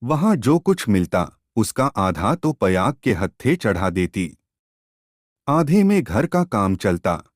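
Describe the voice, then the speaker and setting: A man narrating a literary short story in an expressive, measured storytelling manner. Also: on the low side, no echo, clean studio sound.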